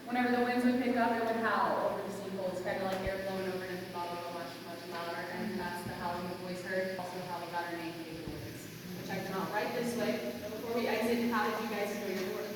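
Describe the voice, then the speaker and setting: A woman's voice: mid-range voice, fairly even intonation, fast talker. A woman from Louisiana working as a cave tour guide, telling stories in a chatty, joking way to her group. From Louisiana, United States. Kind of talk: guided tour narration with casual storytelling. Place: inside an echoing rock cave. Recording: echoey cave, distant mic, noisy.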